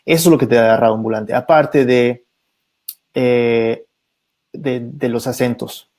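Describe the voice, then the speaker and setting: Middle-aged man, radio producer, reflective and halting, mid-pitched. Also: steady tone, a little mellow. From Peru, raised abroad. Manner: thoughtful, many fillers and restarts. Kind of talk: reflective conversation.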